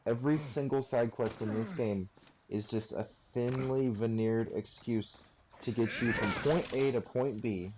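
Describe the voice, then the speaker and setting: A man's voice: mid-range voice, a little slow, background noise. A young man giving a sarcastic, profane rant while commenting on gameplay, recorded on a cheap, poor-quality microphone. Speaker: let's play commentator.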